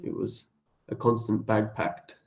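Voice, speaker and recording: A man's voice: lowish voice, completely flat delivery, unhurried, a little mellow. A man, chatting in a laid-back, understated way as an interview guest. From Australia. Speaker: cafe owner and interview guest. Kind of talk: casual interview chat.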